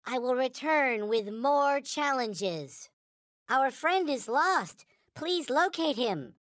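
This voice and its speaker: A man voicing a small, naive, reverent toy space alien in a video game, with a high childlike cartoon voice and solemn, stilted, formal phrasing. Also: sing-song, takes it slowly, a bit bright, dry recording, clean studio sound, full character voice. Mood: worried, pleading. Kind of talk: video game character voice lines.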